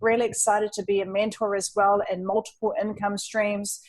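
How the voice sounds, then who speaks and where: A woman's voice: medium pitch, level tone, normal speed. A woman with a New Zealand accent that has turned partly Australian, in her thirties or forties, telling her personal story with upbeat, earnest energy on a webinar. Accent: New Zealand, leaning Australian. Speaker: online entrepreneur and webinar co-host.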